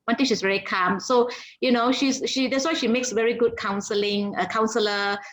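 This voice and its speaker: A woman's voice: fairly high pitch, steady tone, normal speed. A woman on a video call, often searching for words and repeating herself. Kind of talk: casual video call chat.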